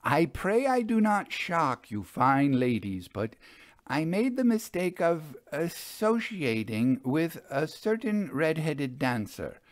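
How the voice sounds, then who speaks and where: A proud, theatrical male magician from a storybook, acted by a man. His speech is florid and formal, broken by coy hesitations. The pitch moves widely and the sound is dry. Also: big pitch swings, slow, dry recording. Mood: coy, embarrassed. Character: famous male stage magician, proud, theatrical, evasively apologetic. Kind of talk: dramatized audiobook storytelling.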